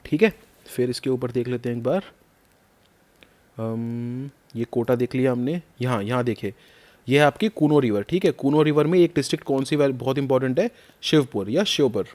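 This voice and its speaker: A man's voice: mid-range voice, moderate pace, a little mellow. Man teaching, quizzing his students. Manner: quizzing, repeats key names. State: slight sore throat. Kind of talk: map lesson for exam prep.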